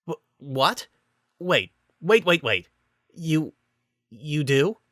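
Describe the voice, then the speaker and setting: Man playing an awkward, animated young prince. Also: on the high side, drawn-out words, no echo, clean studio sound, pitched above the performer's own voice, heavily acted. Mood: flustered. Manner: flustered, sheepish, self-conscious. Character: awkward young prince, flustered, touchy-feely and boyishly petulant. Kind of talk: dramatic reading of romantic comedy fan fiction.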